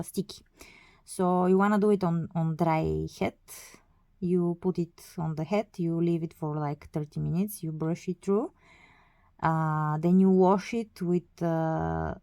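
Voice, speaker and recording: A woman's voice: deep voice, dark tone, no echo. A woman whose first language is not English, explaining a hobby process in a casual, hesitant way with many fillers and self-corrections. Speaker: doll collector. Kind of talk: casual tutorial.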